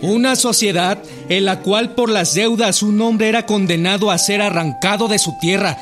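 An actor playing an earnest storyteller in a scripted historical radio drama. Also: male voice, high voice, normal speed, music in the background, close to an everyday voice. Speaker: narrator.

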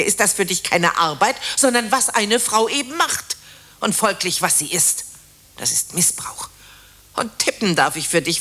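An actress in a radio drama playing a bitter, accusing wife who speaks in an emphatic, declamatory way. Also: female voice, fairly low pitch, pushed and bright. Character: bitter, accusing wife Simone, fed up with her husband.

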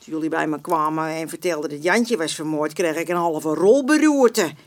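A woman's voice: sits low, expressive, moderate pace. An actress playing a feisty, proud old woman in a radio drama, blunt and colloquial. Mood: upset, confiding. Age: older. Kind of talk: Dutch radio drama acting.

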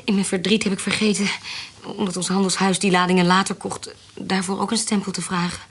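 A woman's voice: mid-range voice, flat delivery, brisk, a little hiss or hum, natural voice. An actress playing a cunning young woman who poses as a widowed baroness, poised and politely formal, in a radio drama. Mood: poised, demure.

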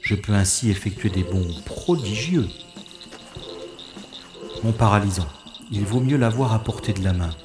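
A man's voice: on the low side, lively intonation, a little slow, long pauses, reverberant, over music. A man voicing a wry, self-mocking secret agent who narrates his own mission in an audio drama. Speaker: first-person narrator-hero. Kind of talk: audio drama inner monologue.

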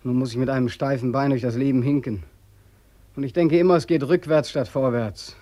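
A man's voice: medium pitch, fairly quick, mellow, natural voice. A man acting in a radio play as a freezing, limping war returnee, weary, bitter and hopelessly sad in tone. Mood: forlorn, wry. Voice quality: sad, desolate voice. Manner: weary, lamenting, emphatic.

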